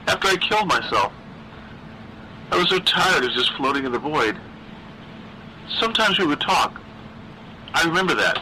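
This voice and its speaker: A man voicing a lonely, obsessive teenage boy ghost who pleads in a halting, plaintive, insistent way in a horror story. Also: fairly high pitch, pushed and bright, a little hiss or hum, pitched above the performer's own voice, heavily acted, rough recording.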